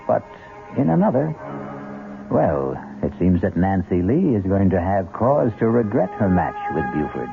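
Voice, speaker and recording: A man hosting and narrating an old-time radio mystery with wry, measured storytelling. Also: deep voice, sing-song, vocal fry. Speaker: host and narrator. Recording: lo-fi phone-like old radio broadcast with music.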